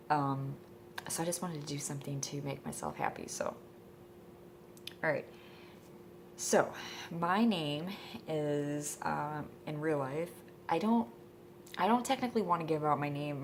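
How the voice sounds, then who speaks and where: Low-voiced congested woman rambling. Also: a little hiss or hum. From Michigan. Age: 20s. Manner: rambling, hesitant, full of ums. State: congested from a cold. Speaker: vlogger. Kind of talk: casual introduction vlog. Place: indoors at home.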